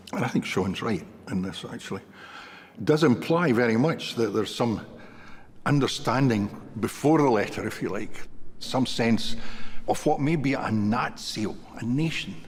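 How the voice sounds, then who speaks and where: A man's voice: medium pitch, animated, moderate pace, a little hiss or hum. A man, a historian, speaking thoughtfully in a documentary interview and carefully qualifying his words. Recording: reverberant room with music underneath.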